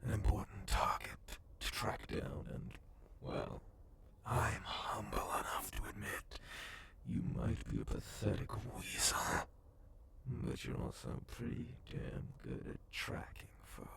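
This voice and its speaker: A man playing a menacing, sadistic cyborg gang boss and bounty hunter in a dark ASMR roleplay, in a breathy, gravelly whisper with slow, taunting delivery. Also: fairly low pitch, wildly swooping pitch, heavy background noise, full character voice. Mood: calculating, coercive. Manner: slow, deliberate, taunting, swearing.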